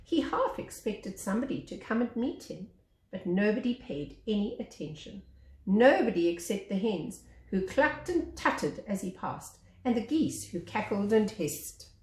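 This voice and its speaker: A woman reading a children's story aloud as a teacher, with expressive narration. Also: mid-range voice, unhurried. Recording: echoey room.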